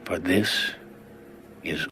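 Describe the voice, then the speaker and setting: A man playing an aged king in a dramatized scripture reading, solemn, weighty and deliberate. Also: bright, forceful tone, slight background noise. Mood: solemn, grave. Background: music behind the voice.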